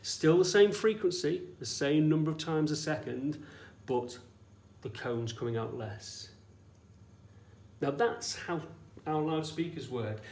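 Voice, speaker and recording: A man's voice: on the high side, a little slow, darkish tone. A man teaching a hands-on science demonstration in an informal, explanatory way, often checking that listeners follow with short questions. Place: indoors in an echoey room. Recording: echoey room with faint background noise.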